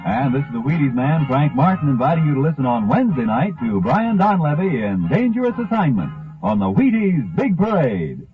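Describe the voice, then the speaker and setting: Animated male pitchman on tinny old radio. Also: a little fast, faint music behind. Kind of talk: 1950s scripted announcing. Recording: tinny 1950s radio recording.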